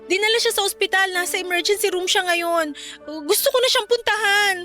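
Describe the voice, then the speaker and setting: A woman's voice: very high-pitched, brisk, bright, forceful tone, faint music behind. A woman acting a humble, worried young employee in a Filipino radio drama, speaking politely and hesitantly with a Filipino accent. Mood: anxious, polite.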